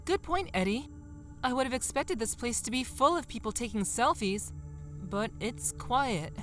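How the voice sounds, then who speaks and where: A woman's voice: on the high side, expressive, moderate pace, soft background music, natural voice. A woman playing an easygoing, observant young woman in a cheesy horror audio drama. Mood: curious, uneasy. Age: young adult. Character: Samantha, calm, observant, mildly spooked young woman.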